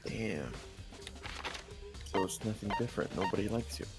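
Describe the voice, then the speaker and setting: A man's voice: on the low side, lively intonation, normal speed, over loud music. A man co-hosting a game stream, chatting casually with a needling, teasing manner.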